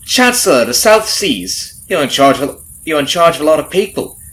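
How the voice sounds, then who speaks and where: A man's voice: fairly high pitch, sing-song, normal speed, fairly bright tone. A man playing a nosy, falsely friendly substitute carriage driver in an ASMR roleplay, rambling folksily to the listener with sly undertones. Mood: breezy, chatty. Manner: folksy, rambling, nosy, hesitant with mock-innocent asides. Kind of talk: ASMR roleplay audio drama, addressing the listener. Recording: noisy, horse and carriage ambience behind. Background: clip-clopping horse, carriage ambience.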